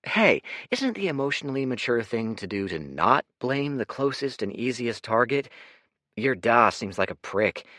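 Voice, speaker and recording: A man's voice: medium pitch, sing-song, normal speed, bright, forceful tone, no echo, studio quality, voice pushed up. A man voicing a flippant, placating young man who talks his way out of trouble with wry charm. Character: glib, flippant young man, quick-talking.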